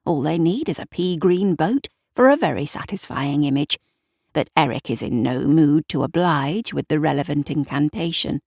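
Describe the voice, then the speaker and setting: A woman narrating a literary audio drama in a measured storyteller's manner. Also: low-pitched, animated, darkish tone, no echo, studio quality.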